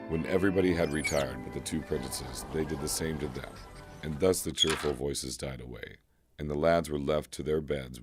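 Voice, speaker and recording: A man narrating a scripted classic story with deliberate, literary phrasing. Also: very deep voice. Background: music.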